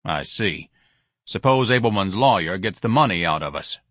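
A man's voice: low voice, lively intonation, moderate pace, fairly bright tone, no echo. A man voicing an irritable, sarcastic businessman husband in an audiobook, dry and dismissive.

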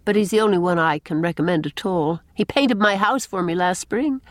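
A woman voicing a brisk, opinionated middle-aged village spinster in a storybook, with an emphatic, folksy, tart way of talking. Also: lowish voice, dry recording, studio quality, lightly acted.

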